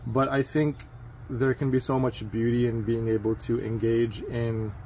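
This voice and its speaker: A man being interviewed outdoors, answering in an earnest, reflective way with frequent pauses and fillers. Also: medium pitch, little pitch movement, a little mellow, a little hiss or hum, rough recording. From United States. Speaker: interviewee, biomedical engineer.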